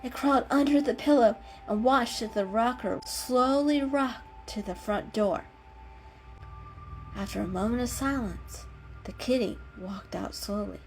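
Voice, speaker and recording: A woman's voice: fairly high pitch, unhurried, low-quality recording. A woman narrator giving a dramatic storybook reading. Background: music.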